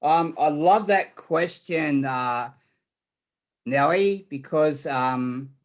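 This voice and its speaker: Rambling Australian-born man, slightly high. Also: very slow. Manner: rambling, repeats words, many fillers. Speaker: guest. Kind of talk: casual online interview.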